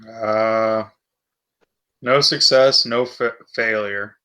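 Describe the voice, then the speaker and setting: Bright, forceful-sounding man. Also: lowish voice, steady tone, very slow, clean tone. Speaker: tabletop game player. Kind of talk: casual in-game chat.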